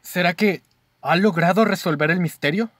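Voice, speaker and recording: Man as a higher-voiced detective's sidekick. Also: normal speed, fairly bright tone, no room sound, clean studio sound. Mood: curious. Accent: Mexican. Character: eager loyal male friend and sidekick of a detective. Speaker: amateur actor in a radio play. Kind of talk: radio drama acting.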